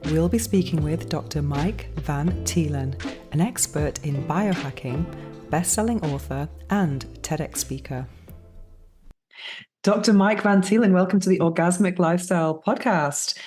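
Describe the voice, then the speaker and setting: A woman hosting a podcast, reading an ad and introducing her guest in a measured, scripted way. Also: deep voice. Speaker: podcast host. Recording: music bed under voice.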